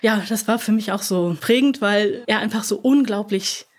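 A woman's voice: medium pitch, moderate pace. A young woman talking casually as an interview guest, chatty, with many fillers and laughs.

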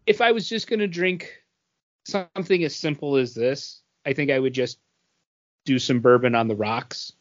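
A man's voice: medium pitch, normal speed, dull audio. A man co-hosting a podcast, talking in an informal, conversational way. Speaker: podcast co-host. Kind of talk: casual chat.

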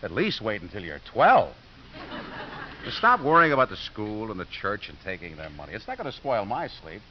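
A stubborn, world-weary coach in a 1950s radio play. His speech is casual and sardonic, with a wide swing in pitch. The sound is dull and faintly hissy. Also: sing-song, normal speed. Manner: wisecracking, casual.

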